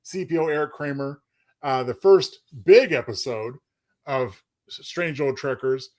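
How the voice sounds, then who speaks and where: A man's voice: medium pitch, measured pace, a little mellow. A man co-hosting a casual livestream, genial and playful as he talks. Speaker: show co-host.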